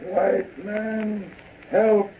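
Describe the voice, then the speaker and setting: Halting wounded man, high, slow, pained. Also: steady tone. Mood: pained, pleading. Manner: halting, terse broken English. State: groaning, in pain. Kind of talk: old-time radio western acting. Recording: dull, noisy vintage radio recording.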